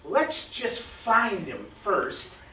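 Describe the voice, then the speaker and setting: Guy doing cocky folksy tough guy w a gun, slow n swingy, very sharp voice, radio play. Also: sits high, very animated, slight background noise, exaggerated voice. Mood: cocky, reassuring. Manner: brash, folksy bravado. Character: cocky, reassuring armed man hunting the invaders. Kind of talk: radio drama acting. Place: theatre stage, live performance. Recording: echoey distant stage recording.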